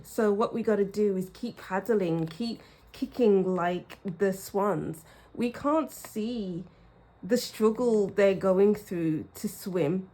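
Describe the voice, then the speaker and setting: Slow, mellow-voiced woman reading tarot. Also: mid-range voice, rough recording. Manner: slow, reflective, repeats phrases for emphasis. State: croaky throat. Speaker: tarot reader. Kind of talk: pick-a-card tarot reading.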